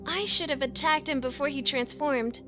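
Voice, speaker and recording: A woman's voice: high voice, moderate pace, close to an everyday voice. A woman playing a defiant, desperate young angel in an anime visual novel, with dramatic delivery. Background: background music.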